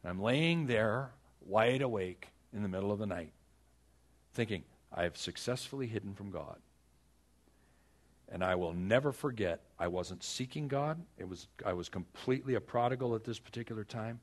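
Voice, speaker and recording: Husky low-voiced male preacher. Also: expressive. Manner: earnest, exhorting, with dramatic pauses and repeated phrases. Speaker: pastor. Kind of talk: sermon with personal stories and prayer.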